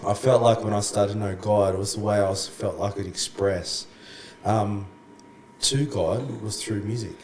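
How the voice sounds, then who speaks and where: A man who has lost his voice and sounds croaky, chatting casually as a guest worship pastor, calling people mate and bro. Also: lowish voice, moderate pace, darkish tone. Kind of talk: casual podcast conversation. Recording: echoey room, faint background noise.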